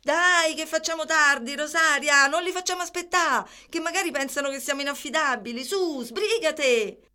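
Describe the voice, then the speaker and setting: A woman's voice: high-pitched, moderate pace, bright, forceful tone. An actress playing a chatty, eager working-class teenage girl with a Roman accent in naturalistic radio drama. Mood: impatient. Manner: colloquial, teasing, casual.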